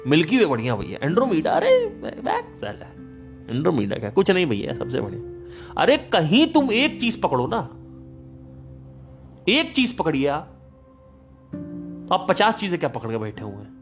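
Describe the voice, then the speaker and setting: A man's voice: fairly high pitch, normal speed, gravelly, long pauses, faint music behind. Emphatic man talking spirituality. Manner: emphatic, animated storyteller. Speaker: podcast host. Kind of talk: casual spiritual talk.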